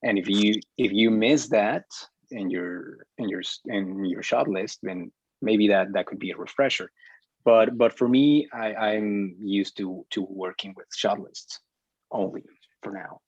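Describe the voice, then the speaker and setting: A man speaking as an instructor in a casual discussion, halting and often repeating words, on a muffled online call. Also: lowish voice, husky, no room sound. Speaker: film instructor leading a class discussion. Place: online group video meeting.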